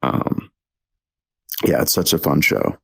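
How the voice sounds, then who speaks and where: A man's voice: a bass voice, slow pace, vocal fry, a bit bright, slightly rough. A young man, talking as a podcast guest in a hesitant, casual way with many ums and restarts. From Texas. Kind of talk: casual interview chat.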